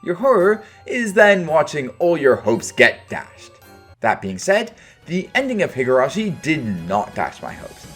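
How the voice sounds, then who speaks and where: A man delivering a scripted video review in casual, opinionated phrasing with dry understatement. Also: fairly high pitch.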